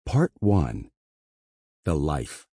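A man reading an audiobook as narrator, in measured, even scripted narration, close to a studio mic. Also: very deep voice, breathy edge, dry recording.

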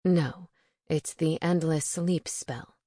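A woman's voice: low-pitched, slow, dark tone, no room sound, clean studio sound. A woman voicing a bossy male owl, a witch's familiar in an audiobook, insistent and commanding. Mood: grave, matter-of-fact.

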